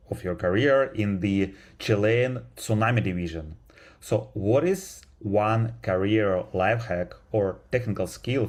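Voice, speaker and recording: A man's voice: on the low side, darkish tone. A man hosting a science podcast, who reads a scripted introduction and then asks questions with a few fillers. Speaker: podcast host.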